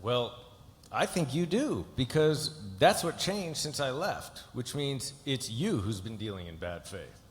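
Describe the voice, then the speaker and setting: A man's voice: mid-range voice, sing-song, a little slow, hardly any pauses. A man playing a cocky, slick, unflappable corporate lawyer in a live script read, assured and cutting. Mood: cool, probing. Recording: echoey hall, noisy room sound.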